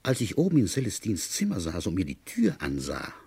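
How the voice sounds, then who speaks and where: A man playing a shrewd, wry village police inspector in a radio play, deliberate and brooding as he tells his case. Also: sits low, wildly swooping pitch, a little mellow, slightly rough, full character voice. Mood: wry, confiding. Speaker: narrator and lead actor. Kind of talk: radio play, first-person detective narration.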